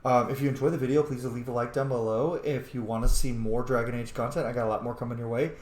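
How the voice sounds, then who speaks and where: A man's voice: mid-range voice, steady tone, fast talker, a little mellow, reverberant. A man doing casual let's-play commentary as a gaming host, playful and informal, thinking aloud with some swearing.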